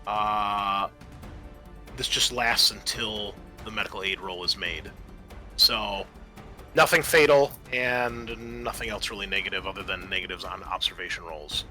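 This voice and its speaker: A man's voice: fairly low pitch, unhurried, pushed and bright, faint music behind. A man narrating a tabletop horror roleplaying game as game master, casual and prone to swearing.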